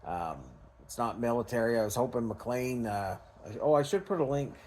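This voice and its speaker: A man's voice: mid-range voice, expressive, normal speed, a little mellow, slight background noise. A Canadian man talking in a casual, unscripted vlog style, rambling and hesitant in a self-effacing way. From Canada, small-town Ontario. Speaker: scrap recycling vlogger.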